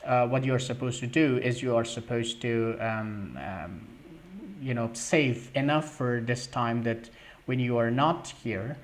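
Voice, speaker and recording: A young man hosting a live question-and-answer stream, reading viewer questions aloud and answering in a halting way with many fillers. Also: medium pitch. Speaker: livestream host answering scholarship questions.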